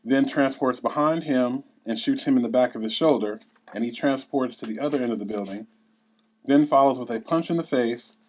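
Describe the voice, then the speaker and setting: A man's voice: medium pitch, moderate pace, darkish tone, rough recording. A man narrating a script at a table read, reading out scene headings and action. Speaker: narrator reading stage directions.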